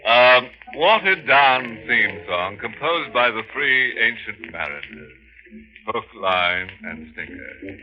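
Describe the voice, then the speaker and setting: An actor playing a gleefully morbid horror host on 1940s radio, sardonic and punning, on an old muffled broadcast. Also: male voice, lowish voice, sing-song, slow. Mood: wry, morbid.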